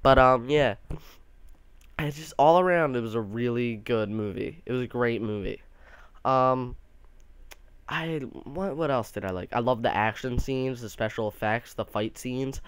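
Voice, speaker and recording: A young man talks through a movie review off the cuff, enthusiastic and full of restarts. His voice is smooth and clear, with a slight nasal stuffiness from allergies, and sits in the middle of the male pitch range. The recording is close and dry. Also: a little slow, no room sound. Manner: rambling, enthusiastic, many restarts.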